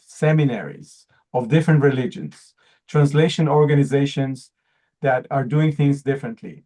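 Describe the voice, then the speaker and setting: A man's voice: a little high, warm tone. A man whose first language is Hebrew gives an earnest presentation, often repeating words as he looks for the phrasing. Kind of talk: pitch presentation to an audience.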